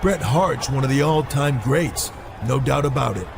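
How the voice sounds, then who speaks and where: Cocky wrestler for a game cutscene, male actor: mid-pitched, creaky, blunt, stubborn, noisy sound. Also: normal speed, close to an everyday voice. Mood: confident. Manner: blunt, stubborn, self-assured. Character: defiant, cocky male pro wrestler hungry for titles. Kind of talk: scripted video game cutscene acting.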